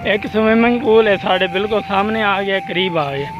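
A man's voice: high voice, normal speed, dull audio. A man in his thirties with a Pakistani Punjabi accent gives effusive village vlog commentary, often repeating his descriptions. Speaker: vlogger. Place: outdoors on a rural road and by a pool. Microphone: handheld phone at arm's length. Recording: music laid over the voice. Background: music.

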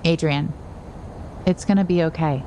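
An actress playing a kind, nerdy young assistant in an audio drama, casual, hesitant and teasing. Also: female voice, low voice, moderate pace, background noise, natural voice. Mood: awkward, reassuring. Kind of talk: naturalistic audio drama acting.

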